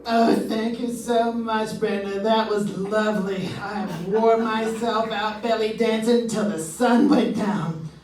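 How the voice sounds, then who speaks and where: A woman's voice: on the high side, steady tone, slow, crowd noise. A woman playing a chatty, tipsy middle-aged lady in a live comedic radio interlude. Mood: delighted, chatty. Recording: echoey hall, distant mic, audience noise.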